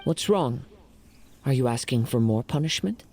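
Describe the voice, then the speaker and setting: Young wandering samurai for a game played by a man: composed, poetic, gravelly edge, medium pitch. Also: lively intonation, a little mellow, a little hiss or hum, close to an everyday voice. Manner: measured, composed, formal. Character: calm wandering young samurai, poetic, quietly stern, male.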